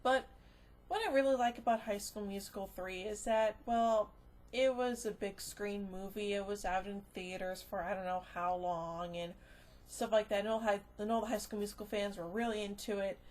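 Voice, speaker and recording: A woman giving a casual, unscripted review, rambling and halting as she goes, often trailing off into filler phrases. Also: medium pitch, steady tone, a little mellow. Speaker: movie reviewer.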